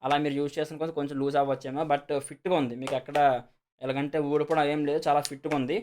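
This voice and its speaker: Man, Telugu accent, extremely rapid pace, slightly high pitch, casual product reviewer, repetitive, English words mixed in. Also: level tone, a little mellow, slight background noise. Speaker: host of a product unboxing and review video. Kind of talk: casual explanatory product demonstration.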